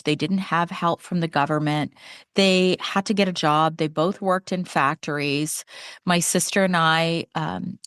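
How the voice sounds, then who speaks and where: Woman in her 50s, solo podcast host, slightly low voice, earnest and chatty, pitch leaps for emphasis. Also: a bit bright. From Canada.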